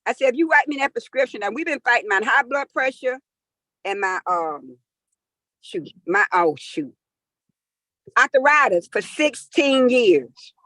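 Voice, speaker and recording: High-voiced woman telling stories. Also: measured pace, no room sound. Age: 50s. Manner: lively, acts out quoted dialogue, emphatic. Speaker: caller sharing a testimonial. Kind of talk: animated testimonial storytelling.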